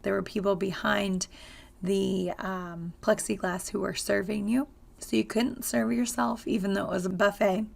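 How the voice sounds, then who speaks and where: Hoarse, raspy woman vlogging. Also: mid-range voice, steady tone, moderate pace. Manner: chatty, with frequent ums and uhs. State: hoarse from screaming. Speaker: travel vlogger. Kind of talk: casual travel vlog.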